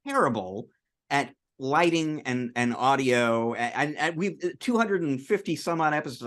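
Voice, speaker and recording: A man's voice: a little high, fairly bright tone. A man co-hosting a podcast over a video call, speaking hesitantly and self-deprecatingly, with many fillers. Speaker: podcast co-host. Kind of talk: casual chat.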